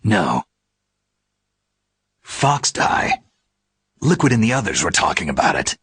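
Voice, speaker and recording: A hardened American secret agent from a video game. His voice is very husky and pitched low. He talks in terse, gruff bursts with a weary edge. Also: big pitch swings, slow pace, a bit bright, no echo, heavily acted. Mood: disbelieving. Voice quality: gravelly, husky. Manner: terse, questioning.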